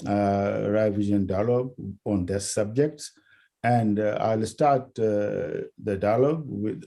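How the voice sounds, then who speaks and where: A man from India hosts the conversation in a low, very dark and mellow voice. He talks very slowly and haltingly, filling nearly every phrase with hesitation sounds, and the pace is unhurried throughout. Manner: halting, heavy with filler sounds. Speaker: interview host. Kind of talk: hosting a recorded dialogue.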